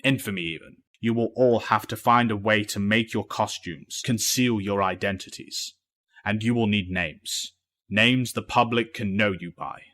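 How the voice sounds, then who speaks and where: A man acts a stern male mentor in an audio drama. His low voice is measured and formal, with protective authority. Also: bright, forceful tone.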